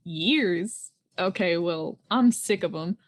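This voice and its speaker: A woman's voice: fairly low pitch, slow pace, pitched below the performer's own voice. A woman voicing a goofy, eager teenage boy who is easily exasperated and talks in playful slang.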